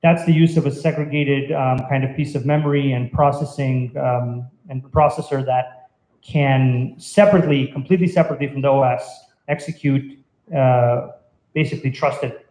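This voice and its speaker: A man gives an informal technical presentation from the stage of an echoey venue. His voice is soft-edged and mellow, a little higher than average. He explains things conversationally, with a hesitant, halting delivery. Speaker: conference speaker. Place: indoor meetup venue, on stage by projection screen. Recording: roomy, echoey event venue.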